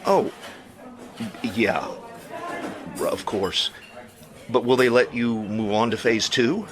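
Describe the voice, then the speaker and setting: An actor playing an easygoing, playful and supportive boyfriend in an audio drama, with casual banter. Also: male voice, medium pitch, slow, a crowd in the background, close to an everyday voice.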